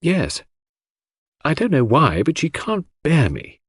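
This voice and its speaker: Audiobook role: male narrator as wistful young woman, hesitant and confiding, pitched up, slightly breathy and rough. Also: huge pitch swings, slow pace, no echo, clean studio sound, heavily acted. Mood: gloomy, plaintive. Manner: hesitant, plaintive.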